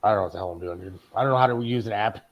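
A man's voice: lowish voice, racing. A man co-hosting a talk show in casual, bantering conversation, in slightly dull audio. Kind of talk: casual chat.

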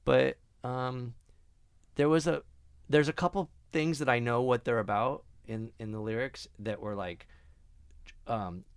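A man's voice: medium pitch, a little slow, clear, resonant tone, dry recording, clean studio sound. A man chatting casually on a podcast, rambling with lots of fillers and restarts and swearing now and then. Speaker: band member, podcast co-host. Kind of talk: casual chat.

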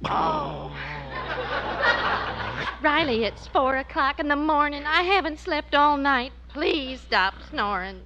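A woman's voice: sits high, animated, slow, long pauses, lo-fi sound. An actress playing a weary, exasperated middle-aged wife in an old radio comedy, drawing out her pleading complaints. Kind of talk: 1940s-50s radio comedy acting. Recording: very noisy old radio recording.

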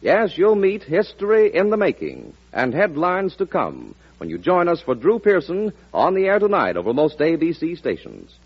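Casting: male American 1940s announcer, very lively intonation, husky edge, selling upcoming broadcasts on vintage radio. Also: a little high, moderate pace, few pauses, highs cut off.

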